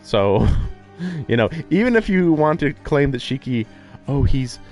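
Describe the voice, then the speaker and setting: A man's voice: mid-range voice, very animated, darkish tone. Man musing aloud over background music. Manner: musing, thinking aloud in halting fragments. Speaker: live streamer. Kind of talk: casual live commentary on a visual novel playthrough.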